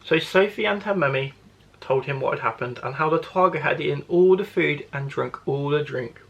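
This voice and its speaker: A man's voice: on the high side, normal speed, gravelly, a bit bright, highs cut off, a little hiss or hum. A man, a primary school teacher, reading a children's storybook expressively to young listeners.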